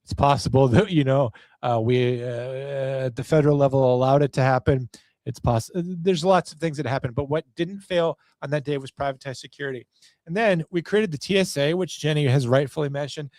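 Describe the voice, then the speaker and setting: A man's voice: mid-range voice, a little fast, a little mellow, dry recording. An American man hosting a podcast, giving an opinionated political monologue in a blunt, sarcastic, profane way. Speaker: podcast host.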